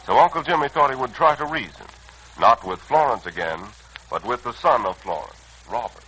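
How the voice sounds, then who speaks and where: A man's voice: medium pitch, animated, moderate pace, pushed and bright, breathy edge, poor audio. A man narrating a 1940s radio serial as its announcer, formal and dramatic in delivery. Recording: old 1940s radio recording. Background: music.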